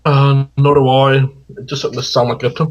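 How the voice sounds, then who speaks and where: A man's voice: mid-range voice, little pitch movement, a little fast, highs cut off. A man making casual comments as a co-commentator on a livestream. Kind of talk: casual chat.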